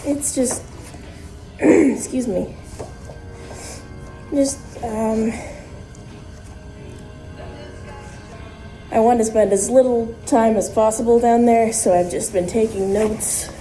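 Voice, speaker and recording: An actress as a fearful, protective young woman in an audio drama. Her voice is mellow and subdued, and she talks slowly and hesitantly, as if guarding what she says. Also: on the high side, faint music behind, low-quality recording. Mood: guarded, hesitant. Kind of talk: naturalistic fiction podcast acting.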